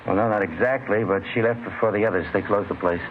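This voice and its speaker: A man's voice: fairly low pitch, quick pace, slight background noise, close to an everyday voice. An actor playing a friendly, talkative bar-restaurant counterman in a casual, plain-spoken 1950s radio drama style. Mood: helpful, casual. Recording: tinny old radio recording, music behind.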